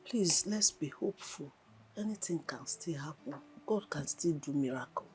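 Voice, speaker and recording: A woman's voice: sits low, expressive, normal speed, darkish tone, soft background music. A woman playing a concerned, devout Nigerian woman in a film drama, admonishing with emphasis and urging hope. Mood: hopeful, soothing. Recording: faint background noise and ambience.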